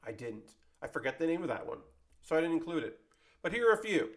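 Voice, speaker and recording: Man, somewhat high pitch, casual commentary, rambling delivery, self-correcting, conversational, hobby show host. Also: sing-song, fast talker. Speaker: host of an anime club video show. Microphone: close microphone.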